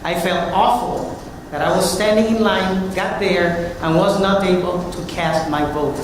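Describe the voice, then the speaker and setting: Man, witness, earnest formal testimony; distant mic, boomy hall. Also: fairly even intonation, unhurried, background noise. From Puerto Rico. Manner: earnest, reading a prepared statement. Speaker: hearing witness, military veteran. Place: large hearing room. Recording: echoey hearing room from far off.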